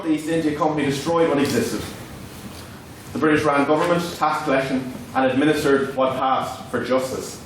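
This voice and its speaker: Male Irish debater, native Irish speaker, declamatory formal delivery; distant mic, heavy room echo. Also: on the high side. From Ireland. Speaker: student debater giving a maiden speech. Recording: echoey hall from far off, faint noise.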